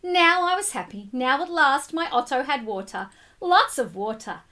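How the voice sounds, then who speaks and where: Bright, high-pitched woman reading to children. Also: normal speed. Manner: expressive, addresses children directly. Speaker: school storytime reader. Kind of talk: picture book read-aloud for children.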